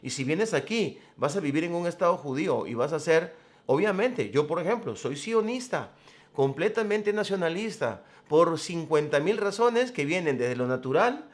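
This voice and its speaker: A man hosting a programme, giving commentary in a deliberate, emphatic, explanatory way. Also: fairly high pitch. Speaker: host of a religious current-affairs programme. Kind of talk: spoken commentary to camera.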